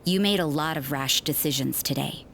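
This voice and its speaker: A woman playing a wary, sardonic nun investigator in an anime fantasy video game, speaking in a cool, dry, deliberate way. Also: low voice, creaky, a bit bright, a little hiss or hum. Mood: cautioning.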